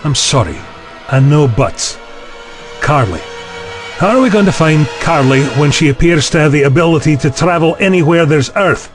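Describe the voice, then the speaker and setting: Man playing a sceptical, higher-voiced university chancellor. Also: expressive, normal speed, music in the background, natural voice. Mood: defensive. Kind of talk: science fiction audio drama acting.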